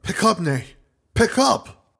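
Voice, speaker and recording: Anxious, higher-pitched space crewman. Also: very animated, slow, vocal fry, fairly bright tone, breathy edge, heavily acted. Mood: worried, urgent. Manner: earnest, worried. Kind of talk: audio drama acting.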